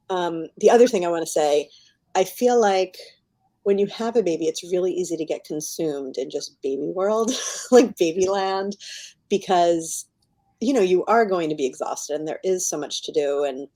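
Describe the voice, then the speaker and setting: A woman's voice: lowish voice, dark tone. A middle-aged woman chatting casually as a podcast guest, emphatic and encouraging, often laughing as she talks. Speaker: guest novelist.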